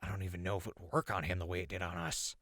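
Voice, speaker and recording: An actor playing a rattled captive survivor in a post-apocalyptic audio drama, muttering halting notes into his recorder in a gravelly, husky voice. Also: sits low, level tone, fairly bright tone, no echo, studio quality. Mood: weary, focused. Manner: halting, muttering to himself, exclaiming.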